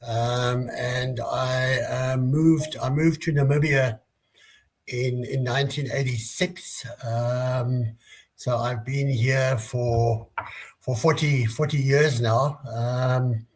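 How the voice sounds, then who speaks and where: A man's voice: mid-range voice, flat delivery, slow pace. A man in his sixties from South Africa, answering interview questions with many hesitations and restarts over a remote call. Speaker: guest, business leader.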